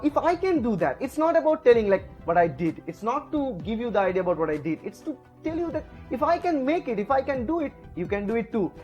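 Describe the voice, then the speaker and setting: A man from India, a native Bengali speaker with an Indian English accent, giving tips to camera with lively swings in pitch and many fillers. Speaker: educator. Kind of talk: lecture to camera. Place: room, alone with camera.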